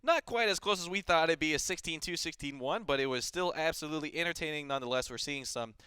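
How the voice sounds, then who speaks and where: A young man co-casting esports, joking in fast, friendly banter. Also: on the high side, pushed and bright, clear, resonant tone, no echo. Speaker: esports co-caster. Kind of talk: live esports commentary.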